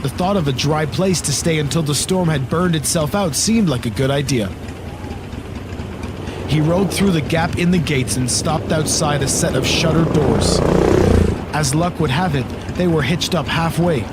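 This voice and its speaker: A man's voice: a little high, normal speed, heavy background noise. A man narrating a scripted horror audio drama, with storm and engine sound effects behind him. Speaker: story narrator. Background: loud storm and vehicle ambience.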